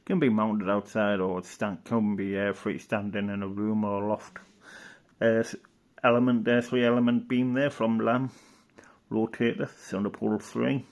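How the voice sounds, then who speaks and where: A man's voice: lowish voice, fairly even intonation, normal speed. A man giving casual, rambling commentary as he reads through an old catalogue, hesitant and thinking aloud. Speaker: video presenter.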